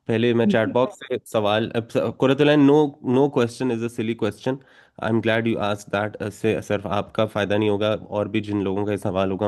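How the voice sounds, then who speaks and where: A man's voice: fairly low pitch, a little mellow. A man moderating a webinar question session, courteous and switching between English and Hindi-Urdu. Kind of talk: moderating a live Q&A.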